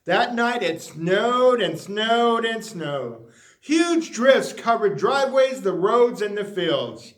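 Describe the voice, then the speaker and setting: A man reading a children's picture book aloud in an animated storytelling style with emphatic, deliberate phrasing. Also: sits high. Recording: roomy, echoey sound.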